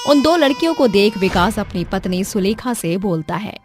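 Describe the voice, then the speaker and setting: A woman's voice: medium pitch, lively intonation, normal speed, darkish tone. A woman narrator reading a scripted dramatic story for listeners. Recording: music behind the voice.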